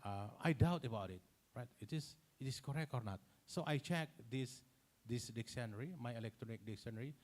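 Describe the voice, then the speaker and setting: Middle-aged Indonesian-accented man, conference presenter; halting, many fillers, restarts himself, mid pitch. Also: a little mellow. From Kerinci, Sumatra, Indonesia. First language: Indonesian regional dialect. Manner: halting, full of fillers and self-corrections. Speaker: conference presenter answering a question. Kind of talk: unscripted conference Q&A answer.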